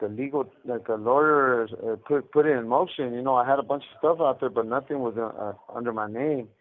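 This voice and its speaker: A man telling his story in casual, rambling street talk with slang and cursing, as an inmate on a phone call. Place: jail or prison phone line. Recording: muffled low-fi collect phone call.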